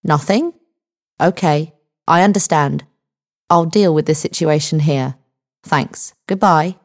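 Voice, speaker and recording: Lady doing a male cop in an audiobook, friendly but talks down to you, lowish, measured. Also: darkish tone, heavily acted. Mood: businesslike. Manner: condescending, measured. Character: patronizing male police sergeant, friendly but dismissive. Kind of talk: audiobook character dialogue.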